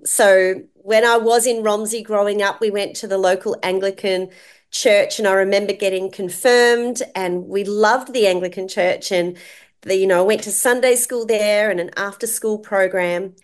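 A woman in her fifties speaks with an Australian accent, telling her life story as a guest. She talks warmly and earnestly at a mid pitch. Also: fairly even intonation, moderate pace. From Melbourne, Australia. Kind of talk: interview, personal story.

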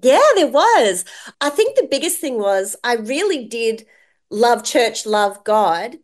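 A woman in her fifties with an Australian accent, a guest telling her life story warmly and earnestly. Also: a little high, big pitch swings, slow. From Melbourne, Australia. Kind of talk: interview, personal story.

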